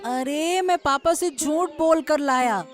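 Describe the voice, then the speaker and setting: A woman's voice: high voice, slow pace, soft background music. A woman voicing a polite, caring young village boy in a cartoon story. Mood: caring, hurried. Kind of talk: Hindi cartoon story dialogue.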